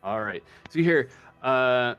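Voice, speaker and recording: Male game master, unhurried, halting improvised narration, music underneath. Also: medium pitch, lively intonation, fairly bright tone, smooth, no echo, muffled recording. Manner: halting, pausing mid-sentence.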